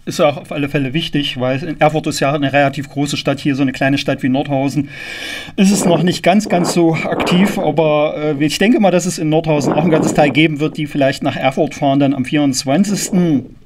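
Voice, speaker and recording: A man hosting a casual radio interview, asking loose, halting questions that ramble a little. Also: on the high side. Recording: clearly noisy, clicking sound.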